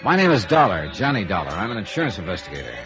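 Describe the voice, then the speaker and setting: Wry hard-boiled male investigator, very lively intonation, vintage radio noir, dull old recording with music. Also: fairly low pitch, rapid-fire. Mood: casual, probing. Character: wry, easygoing male freelance insurance investigator. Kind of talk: 1950s radio noir acting with first-person narration.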